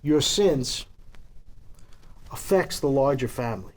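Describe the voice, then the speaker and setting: Man acting as a slow, menacing mob boss. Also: mid-range voice, animated. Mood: stern, controlled. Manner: deliberate, profane, lecturing. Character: menacing, domineering male gangland boss, cold and explosive. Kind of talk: live table read of a TV script.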